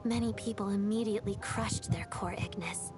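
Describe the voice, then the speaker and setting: A woman playing a melancholy young ballet dancer in a video game, speaking softly and breathily in a restrained, reflective, measured way. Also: mid-range voice, fairly even intonation, over music, lightly acted. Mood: quiet, regretful. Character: melancholy young female ballet dancer and former Fatui trainee. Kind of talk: video game story dialogue.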